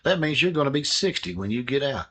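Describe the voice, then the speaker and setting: An actor playing a calm, methodical male detective partner in a radio drama. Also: mid-range voice, fast, slightly rough. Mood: pressing.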